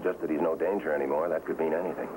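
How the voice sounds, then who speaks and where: A man's voice: extremely low pitch, fairly even intonation, very fast. An actor playing an earnest, guarded undercover man in a 1950s TV drama, clipped and serious in his delivery. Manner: clipped, serious, matter-of-fact. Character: guarded, earnest man working undercover inside a communist cell. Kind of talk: 1950s TV drama acting with terse voice-over. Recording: old 1950s TV soundtrack, some noise.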